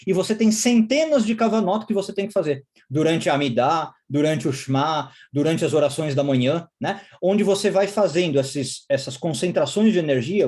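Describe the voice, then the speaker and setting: A man's voice: high voice, warm tone. Man lecturing with rising tag questions. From Israel. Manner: explanatory, frequent checking tag questions. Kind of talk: recorded course lecture.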